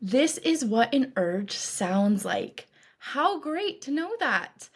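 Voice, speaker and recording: A woman coach leading a guided meditation in a calm, reassuring, instructive way, with deliberate phrasing. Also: high-pitched, slightly rough. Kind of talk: guided meditation, scripted narration.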